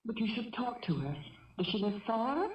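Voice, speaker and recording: An actress playing a calm, caring middle-aged mother held hostage in a 1970s radio drama, measured, earnest and gently persistent. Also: fairly high pitch, a little hiss or hum. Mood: gently coaxing. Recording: dull, echoey vintage radio recording.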